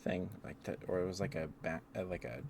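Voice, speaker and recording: Male ASMR streamer, low-pitched, casual and rambling, with noticeable background noise. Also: brisk, gravelly. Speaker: livestreamer.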